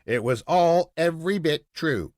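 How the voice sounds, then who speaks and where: A man's voice: on the high side, expressive, unhurried, no echo, studio quality. A man voicing a patient, teasing papa in a storybook, speaking with indulgent mock solemnity. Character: patient, teasing papa telling his little daughter a tale.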